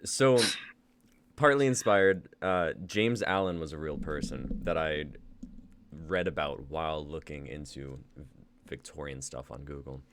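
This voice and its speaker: A man's voice: very deep voice, measured pace, a bit bright, slight background noise. A man hosting a live reading stream, chatting casually and swearing and laughing as he tells a story. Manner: loose, swearing, laughing between phrases. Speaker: reading host. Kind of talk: casual chat after a read.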